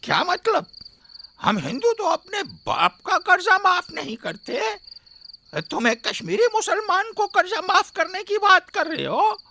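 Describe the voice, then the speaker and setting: A woman's voice: very high voice, big pitch swings, unhurried, pushed and bright, a little hiss or hum, full character voice. A woman playing an oily, mocking old moneylender father in a radio drama, with sing-song wheedling and chuckles. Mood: scornful, scheming.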